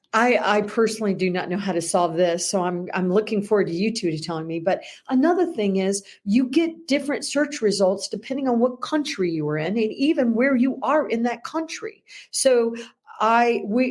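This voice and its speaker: A woman co-hosting a discussion show, talking in long, animated run-on thoughts. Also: mid-range voice. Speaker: podcast co-host. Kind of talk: casual discussion.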